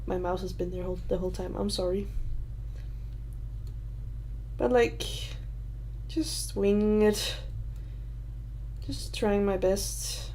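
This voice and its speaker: Young woman, Danish accent, livestream host; hesitant rambling chat, soft warm voice. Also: medium pitch, slight background noise. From Denmark. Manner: rambling, hesitant, many fillers and restarts. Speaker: livestream host answering questions.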